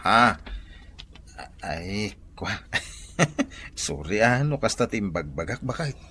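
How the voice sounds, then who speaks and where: A man acting a blustery, teasing husband with a soft side in an Ilocano radio comedy drama, with an Ilocano accent. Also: fairly low pitch, very animated, takes it slowly, slight background noise, exaggerated voice. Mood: coaxing, teasing.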